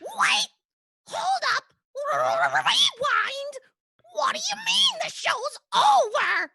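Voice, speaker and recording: A woman's voice: big pitch swings, drawn-out words, creaky, bright, forceful tone, dry recording, raised voice, clean studio sound, exaggerated voice. A woman voicing a melodramatic, frantic puppet with a piercing voice in a puppet play. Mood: disbelieving, alarmed. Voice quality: shrill, piercing. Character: panicky, dramatic puppet Pika, fearing the garbage truck. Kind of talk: puppet play performed as audio-visual reading.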